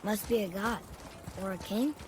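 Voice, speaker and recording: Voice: mid-range voice, moderate pace, heavy background noise, natural voice. An actor playing a curious, eager young boy in a video game, talking in an earnest, inquisitive, casual way. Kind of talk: dramatic video game acting.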